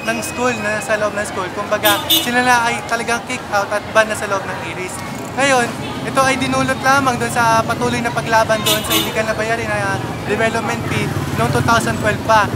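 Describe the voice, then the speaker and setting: A young Filipino student activist gives an interview statement at a middling pitch. The recording is outdoors, with very loud street noise and a big crowd behind the voice. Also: fairly even intonation, fairly quick. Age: young adult. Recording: very noisy outdoor crowd and traffic.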